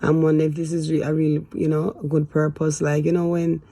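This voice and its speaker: Husky, gravelly woman in her fifties. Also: deep voice, level tone, warm tone, no room sound. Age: 50s. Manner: hesitant, rambling, with sighs and trailing pauses. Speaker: vlogger. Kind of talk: casual confessional talk to camera.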